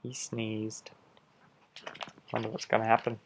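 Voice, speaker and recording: A man reading a picture book aloud, with quiet, casual asides that point out the pictures. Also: on the low side, monotone, measured pace, slight background noise.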